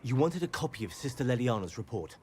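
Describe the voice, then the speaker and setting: An actor playing an eager, oblivious soldier messenger in fantasy game dialogue. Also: male voice, medium pitch, very animated, fast, a little mellow, slight background noise, natural voice. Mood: eager, sheepish.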